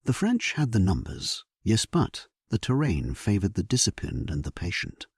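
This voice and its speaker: A man narrating a first-person historical sleep story in a solemn, measured, hushed, breathy voice, as a grave and resolute medieval king. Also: fairly low pitch, huge pitch swings, no echo, clean studio sound.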